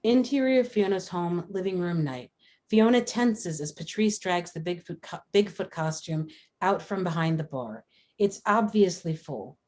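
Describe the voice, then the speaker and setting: Female narrator for a script read, slightly low register, delivering screen directions. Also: expressive, measured pace, darkish tone. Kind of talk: reading screenplay stage directions.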